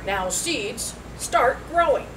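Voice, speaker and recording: A woman's voice: sits high, lively intonation, takes it slowly. Lady doing a fretful little toad from a picture book, insistent, very swoopy, noisy distant mic. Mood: eager, insistent. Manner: emphatic, shouting at times. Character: impatient, anxious, childlike male toad gardener. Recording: distant mic, loud background noise.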